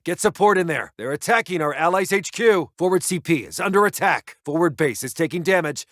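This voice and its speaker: A dutiful American army intelligence officer from a World War II strategy game. His voice sits slightly high for a man and is recorded very dry and close, without room sound. He delivers brisk, formal field reports to his commander in clipped military phrasing. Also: lively intonation, fairly bright tone, no room sound. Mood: urgent. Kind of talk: scripted video game unit voice lines.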